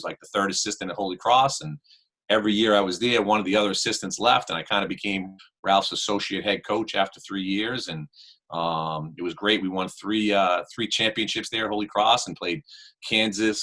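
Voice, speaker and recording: A middle-aged man with a Boston accent, deep in pitch and forceful in tone. He chats loosely as a guest coach on a podcast, piling on "you know" and "um" as he goes. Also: brisk. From Worcester, Massachusetts. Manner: rambling, full of "you know" and "um". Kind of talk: casual interview chat.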